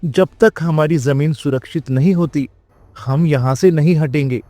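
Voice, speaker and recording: A man playing an authoritative village figure in a moral-story cartoon, speaking in a deliberate, declamatory way. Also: on the high side, mellow, no room sound, faint music behind, natural voice. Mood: resolute. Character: domineering landlord and earnest village headman in a cartoon.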